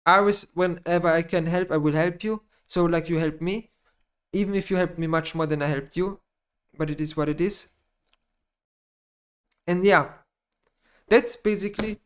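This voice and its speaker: A man with a German accent, a native German speaker, giving an unscripted personal monologue to his channel, rambling and halting, often repeating phrases and restarting sentences. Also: fairly high pitch, smooth. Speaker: gaming YouTuber.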